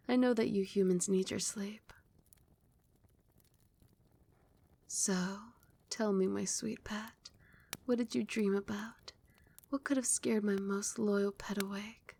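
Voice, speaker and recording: Vampire queen, played by a female performer: regal, doting and possessive, ASMR roleplay, hushed and soothing with breathy whispers. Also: mid-range voice, lightly acted. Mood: tender, concerned. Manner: soft, soothing, often dropping into breathy whispers.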